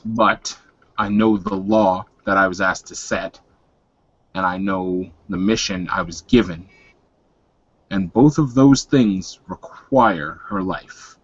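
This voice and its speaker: Man playing a low, grave werewolf mentor. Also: slightly rough, dry recording, heavily acted. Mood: grave, resigned. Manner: measured, frank, solemn. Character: grave, blunt male werewolf mentor, clove smoker. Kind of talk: improvised tabletop roleplay. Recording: dull, dry lo-fi voice recording.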